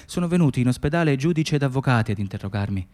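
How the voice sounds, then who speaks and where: An actor playing a weary, dutiful Moroccan immigrant hospital worker in a radio drama, speaking in a plain, insistent monologue. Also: male voice, mid-range voice, animated, very fast, a little mellow, lightly acted. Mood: wounded, bitter. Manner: clipped inner monologue and plain testimony.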